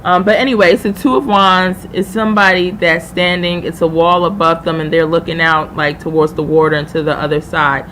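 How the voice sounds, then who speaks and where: A woman's voice: on the low side, little pitch movement, fairly quick, hardly any pauses, fairly bright tone, a little hiss or hum, rough recording. A woman hosting a live tarot reading, chatting informally and familiarly with viewers as she thinks aloud. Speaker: tarot reader hosting a livestream.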